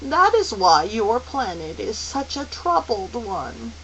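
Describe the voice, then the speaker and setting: Brief: female narrator playing comforting old otherworldly lady for a storybook, raised lively pitch, gentle, endearing, slightly slow. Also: full character voice, low-quality recording. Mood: gently explaining. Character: kindly, comforting old celestial lady, motherly and wise. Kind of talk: audiobook character voice. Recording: faint hiss.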